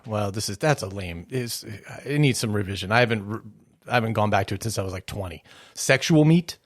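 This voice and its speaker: A man's voice: lowish voice, animated, fairly quick, no room sound. A man in his forties hosting a podcast, laughing often and talking with many fillers and hesitations. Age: 40s. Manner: giggly, hesitant, full of fillers. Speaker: podcast host. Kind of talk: casual interview chat.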